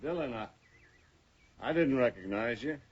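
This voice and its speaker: An actor playing a sly, sullen gunslinger in a 1950s radio western, stammering with false friendliness. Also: male voice, medium pitch, expressive, a little slow, breathy edge. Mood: menacing. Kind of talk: 1950s radio drama acting. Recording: muffled old radio broadcast.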